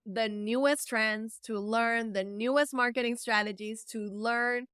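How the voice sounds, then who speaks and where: A woman's voice: on the high side, steady tone, measured pace, fairly bright tone, clean tone, no echo, studio quality. A woman from El Salvador whose first language is Spanish, an event producer giving an earnest, encouraging talk to camera and stretching words for emphasis. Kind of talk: direct-to-camera motivational talk.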